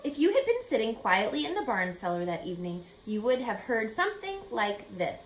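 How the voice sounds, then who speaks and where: A woman narrates a children's book with lively, swooping expression. Her voice sits fairly high, and she stresses and explains as she goes. The room echoes around her, with faint noise behind. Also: a little fast. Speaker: storybook reader. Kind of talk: animated children's read-aloud narration. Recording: roomy echo with faint background noise.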